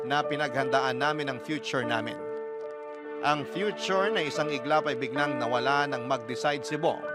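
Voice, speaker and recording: A Filipino man giving a sorrowful, dramatic first-person scripted narration as a radio storyteller. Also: on the high side. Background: music.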